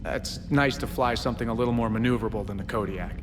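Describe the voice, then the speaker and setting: Actor as brisk military shuttle pilot, male. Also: on the low side, lightly acted. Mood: businesslike. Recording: loud music behind the voice.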